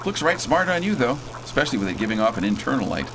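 A folksy, bold space hero acted by a man for radio theater. He gives orders in breezy old-time slang with a lively, mid-pitched voice. An engine-like noise runs clearly behind him. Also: fast talker. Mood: easygoing. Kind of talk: space opera radio drama acting.

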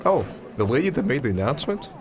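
A man's voice: mid-range voice, big pitch swings, fast talker, faint music behind, some chatter behind, lightly acted. A man playing a naive, wide-eyed, awkward college freshman in an audio drama, speaking in a hesitant, curious, slangy way.

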